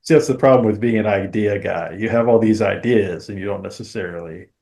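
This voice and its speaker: A male podcast co-host with a fairly low voice talks in a casual, conversational style. He is agreeable, with a dry, teasing way of responding. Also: fairly quick, gravelly, warm tone. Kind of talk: casual chat.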